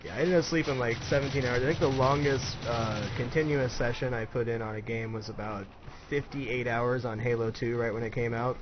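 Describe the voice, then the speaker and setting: A man's voice: mid-range voice, steady tone, a little fast. A man in his twenties hosting a casual chat, asking easygoing questions. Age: 20s. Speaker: host, interviewer. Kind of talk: casual chat over gameplay commentary. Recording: dull lo-fi voice-chat audio with clear background noise.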